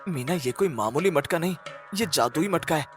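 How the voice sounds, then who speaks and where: A man's voice: on the high side, lively intonation, normal speed, soft background music, close to an everyday voice. A man voicing a kind, cautious poor village husband in a cartoon story, speaking earnestly and emphatically. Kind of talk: Hindi cartoon story dialogue.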